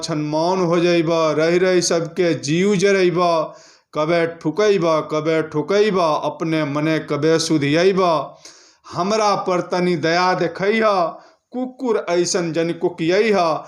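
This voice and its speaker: A man from India, presenting and reciting poetry in a formal, deliberate, measured way. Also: fairly high pitch.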